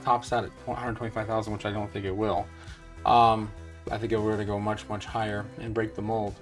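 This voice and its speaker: A man in his thirties with a mid-range voice talks loosely and confidently. He rambles and restarts his sentences often. Soft music plays faintly behind him. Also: medium pitch. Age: 30s. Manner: rambling, with many fillers and restarts; upbeat and certain in his views. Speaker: crypto channel host. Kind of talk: casual talk over a retirement calculator.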